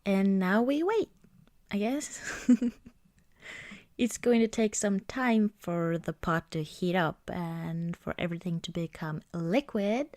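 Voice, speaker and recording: A woman doing an ASMR roleplay as an affectionate girlfriend, chatting casually and hesitantly in a soft, intimate way. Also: medium pitch, slow pace, no room sound. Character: affectionate, cozy girlfriend baking at Christmas.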